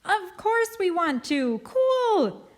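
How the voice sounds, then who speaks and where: A woman voicing an eager, polite, excitable young schoolgirl in a children's audiobook. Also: high voice, a little slow, pitched above the performer's own voice. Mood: thrilled. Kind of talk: audiobook character voice.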